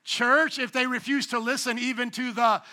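Bright, high-voiced pastor preaching. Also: male voice, steady tone, normal speed. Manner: emphatic, calls for congregation responses; playful, jokes at himself. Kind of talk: sermon. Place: church sanctuary.

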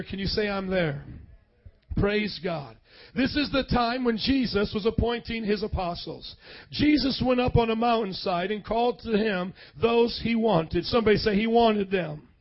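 Man, preacher, hoarse, high-pitched, energetic call-and-response sermon, muffled lo-fi sound. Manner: energetic, calls out to the congregation. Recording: dull lo-fi sound.

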